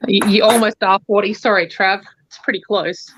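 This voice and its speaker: A woman's voice: mid-range voice, fairly even intonation, normal speed, noisy background. A woman, chatting casually and rambling on a live stream. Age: 30s. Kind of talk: casual chat. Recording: dry, dull lo-fi sound.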